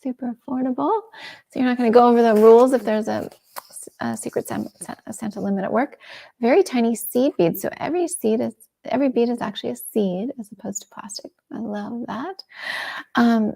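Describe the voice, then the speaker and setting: A middle-aged woman hosting a shopping livestream, chatting enthusiastically and dropping into soft asides. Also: fairly high pitch, fairly quick, creaky. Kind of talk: casual product presentation.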